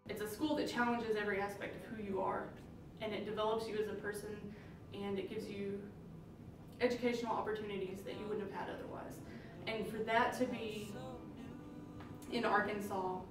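A woman's voice: mid-range voice, little pitch movement, moderate pace. A young woman, a former student, speaking casually and reflectively in a classroom interview. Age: young adult. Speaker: alumna. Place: classroom with windows. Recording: echoey, distant, guitar music.

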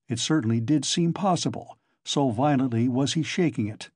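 A man's voice: medium pitch, moderate pace, darkish tone, husky, no room sound, clean studio sound. A man narrating a literary audiobook in a scripted reading style.

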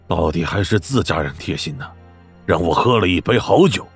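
A man playing a burly, wounded martial-arts master in an audio drama, bitterly sardonic, with a husky, sighing voice. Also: low voice, expressive, slow, faint music behind, exaggerated voice. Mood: bitter, wry.

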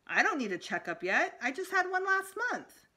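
A woman's voice: high voice, very animated, normal speed, pushed and bright, voice pushed up, exaggerated voice. A woman voicing a wry fourth-grade boy, a reluctant big brother in a storybook.

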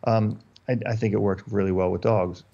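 German-born man, low voice, dull call audio. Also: fairly even intonation, fairly quick, mellow. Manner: explanatory, repeats words and restarts often. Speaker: dog trainer. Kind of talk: interview guest on a video call. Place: dark room indoors. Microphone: headphones and desk mic on a video call.

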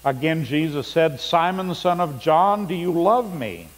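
A man's voice: on the high side, animated, measured pace. A middle-aged male pastor preaching a sermon in a church sanctuary, reading scripture aloud with rising emphasis. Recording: long church reverb, faint hum.

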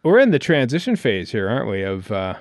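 A man's voice: medium pitch, big pitch swings, a little fast, no room sound, studio quality. A man co-hosting a podcast, chatting casually and thinking aloud as he comments on what he is watching. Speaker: podcast co-host. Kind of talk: casual film commentary chat.

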